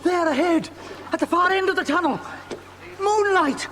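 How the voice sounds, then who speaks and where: An actor playing a flustered, grateful, wonderstruck bishop in an audio drama. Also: male voice, very high-pitched, moderate pace. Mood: amazed. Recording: noisy sound-effect background.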